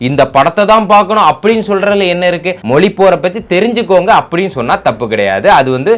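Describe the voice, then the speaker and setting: Fast-talking man arguing his opinion. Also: sits high, lively intonation, a bit bright. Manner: argumentative, rhetorical questions, English words mixed in. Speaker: YouTube political commentator. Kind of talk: opinionated reaction commentary.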